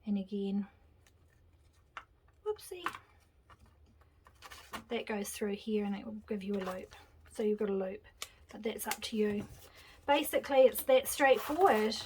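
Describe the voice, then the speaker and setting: A woman's voice: medium pitch, measured pace, long pauses, slight background noise. A woman hosting a casual craft tutorial, chatting and thinking aloud as she glues and assembles at her table. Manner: chatty, relaxed, thinking aloud while working. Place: craft table indoors, hands seen from overhead camera.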